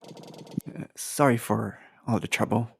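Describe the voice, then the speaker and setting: Casting: man as earnest young clan loyalist in a game drama, creaky voice, hesitant, few words, dutiful. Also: medium pitch, takes it slowly, exaggerated voice. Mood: apologetic. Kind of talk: fan-performed game script, dramatic dialogue.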